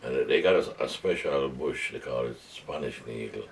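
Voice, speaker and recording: A Jamaican man in his seventies recalling his village childhood in an oral history interview, unhurried and reflective. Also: rumbling low. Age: 70s. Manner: unhurried, reflective storytelling with hesitations.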